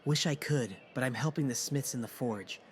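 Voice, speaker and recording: An actor playing an easygoing, encouraging young male friend in a fantasy audio drama, speaking gently and reassuringly. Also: mid-range voice, moderate pace, slight background noise, close to an everyday voice. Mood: mildly regretful.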